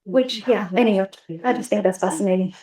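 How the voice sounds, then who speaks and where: A woman's voice: medium pitch, fairly quick, warm tone. A woman whose first language is Bulgarian, talking thoughtfully in a roundtable on translation, with frequent restarts and fillers. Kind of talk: reflective academic conversation. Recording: roomy sound, distant mic.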